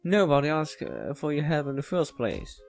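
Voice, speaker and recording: A man's voice: fairly high pitch, huge pitch swings, gravelly, soft background music. A man doing a casual read-aloud of a visual novel, often stumbling and going back over lines. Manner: halting, stumbling and rereading lines. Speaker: let's player reading a visual novel aloud.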